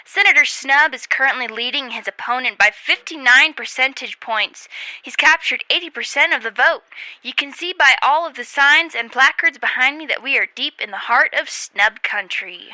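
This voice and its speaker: An upbeat election-night reporter acted by a woman in a radio comedy. Her voice is high and very sharp, with emphatic announcer phrasing and a dry, close sound. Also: moderate pace, dry recording, rough recording. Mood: brisk reporting.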